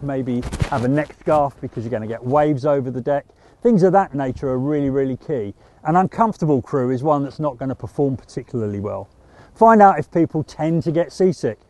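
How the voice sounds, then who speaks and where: Methodical sailing instructor, mellow voice. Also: male voice, a little high, no echo. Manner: steady, practical and methodical, with small restarts. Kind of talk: instructional talk to camera.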